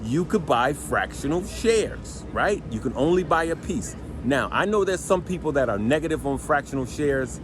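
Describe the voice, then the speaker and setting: A man with a deep voice hosting a stock trading channel, giving casual advice and driving his points home by repeating them and checking in with "right?". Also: animated, normal speed, few pauses, background noise. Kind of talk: casual finance advice to viewers.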